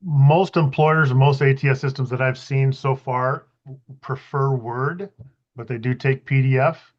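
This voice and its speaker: A man's voice: mid-range voice, fairly even intonation, measured pace. Man, informal presenter, hedging explanations, slightly dull video-call sound. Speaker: presenter sharing job-search tool experience. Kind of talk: casual explanation in an online group meeting.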